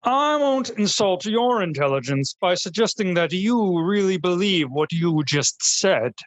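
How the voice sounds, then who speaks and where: A woman playing a pompous, easily outraged male talk-show host in a comedy radio sketch, declaiming in archaic, highbrow phrases. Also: expressive, a little slow, dry recording. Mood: indignant.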